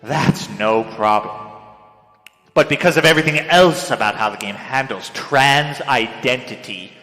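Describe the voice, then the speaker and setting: A young man delivers a theatrical dramatic reading of a written piece in a melodramatic manner, swinging between hushed and loud. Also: medium pitch, a little slow. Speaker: dramatic reader. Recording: echoey hall with long reverb, music behind. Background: music.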